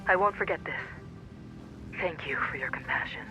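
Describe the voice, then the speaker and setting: A man's voice: fairly high pitch, normal speed, highs cut off, natural voice. A man voicing a polite, deferential pirate henchman in a video game, with formal wording and manner. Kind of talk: scripted video game cutscene acting. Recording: loud music behind the voice.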